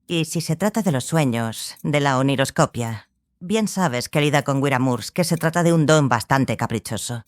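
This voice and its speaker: A woman playing a self-assured sorceress mentor in an audiobook, speaking in a measured, formal, dryly ironic way. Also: very deep voice, lively intonation. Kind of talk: dramatized audiobook acting.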